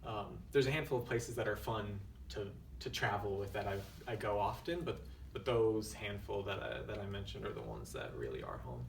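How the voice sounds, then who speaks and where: Man, twenties, from the US, interview guest, low voice, faint ambient noise. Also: lively intonation, gravelly, reverberant, far from the mic. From United States. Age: 20s. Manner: thoughtful, measured, with frequent fillers. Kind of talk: casual interview.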